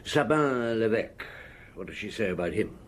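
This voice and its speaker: Actor as weary, gruff police inspector, animated delivery. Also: male voice, lowish voice, a little hiss or hum, natural voice. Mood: probing, dry. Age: middle-aged. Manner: world-weary, brisk, questioning. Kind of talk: radio drama acting.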